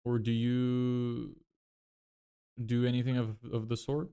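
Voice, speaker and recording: A man's voice: medium pitch, monotone, slow, gravelly, warm tone, clean tone. A man in his twenties, originally from Lithuania, chatting casually on a livestream, thinking aloud and often correcting himself as he answers viewer questions. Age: 20s. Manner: thinks aloud, self-correcting, frequent fillers. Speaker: livestreamer building a business.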